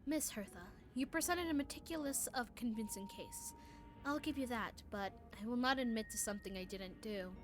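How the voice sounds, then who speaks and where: Woman reading as a calm, formal accused alchemist. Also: on the high side, soft background music, lightly acted. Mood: calm, defiant. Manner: measured, formal, stumbling over the script. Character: calm, patient accused male alchemist defending himself.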